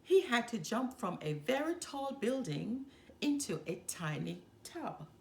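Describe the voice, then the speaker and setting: A woman reading a children's story aloud as a storyteller, with expressive, deliberate delivery. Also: on the high side, gravelly, slight background noise. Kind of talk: expressive read-aloud narration.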